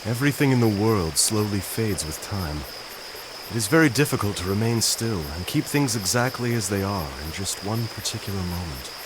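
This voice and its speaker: An actor playing a gentle, melancholy, philosophical gentleman in a video game cutscene, speaking in a measured, courteous, reflective way. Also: lowish voice, expressive, noisy background, close to an everyday voice. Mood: wistful.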